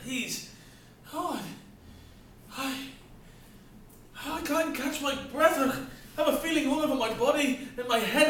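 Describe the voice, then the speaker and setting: A high, bright voice carries as a man plays a desperate, put-upon clerk and father, gasping for breath and pleading before erupting in tirades. It rings from far off across a very echoey hall with faint background ambience. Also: a little hiss or hum. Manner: pleading, gasping, ranting in outbursts. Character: harried overworked family man, desperate and breathless. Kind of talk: theatrical stage acting.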